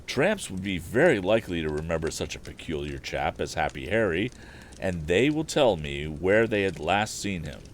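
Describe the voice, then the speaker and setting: An earnest young inventor, played by a man reading a storybook, speaks with determination. He explains himself eagerly, with a lively, swinging pitch over faint background noise. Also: moderate pace. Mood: eager, explaining. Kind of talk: acted audiobook dialogue.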